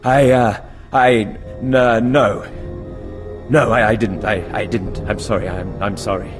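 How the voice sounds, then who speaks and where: A man's voice: on the low side, fairly even intonation, a little slow, natural voice. A man playing an arrogant, easily panicked young Sith acolyte in a video game, with an emphatic, petulant manner. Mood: cowed. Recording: long reverb, loud background music.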